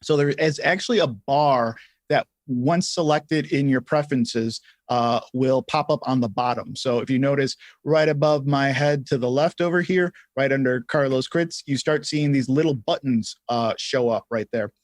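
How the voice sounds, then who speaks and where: A man co-hosting a livestream, giving casual tutorial explanations in halting phrases with some repeats. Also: mid-range voice, dry recording. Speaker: livestream co-host.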